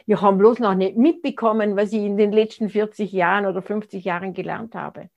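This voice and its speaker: A woman. Her pitch sits at a middling height. She talks thoughtfully in an interview, pausing and restarting as she finds her words. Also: fairly even intonation, gravelly, a little mellow, lo-fi sound. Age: 60s. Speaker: interview guest. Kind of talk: reflective conversational interview.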